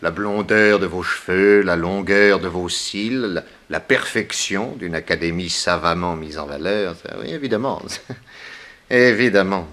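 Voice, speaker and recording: A sardonic detective, sharp-voiced. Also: male voice, low-pitched, measured pace. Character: sardonic, sceptical male detective, brisk and incredulous. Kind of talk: early 1960s French radio play acting.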